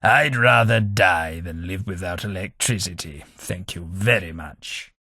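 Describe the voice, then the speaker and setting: An English actor voicing a posh, sardonic ghoul gentleman companion in a video game, with a plummy, dry delivery. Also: sits low, a little slow, vocal fry, husky, no echo, exaggerated voice. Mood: wry. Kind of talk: game voice lines.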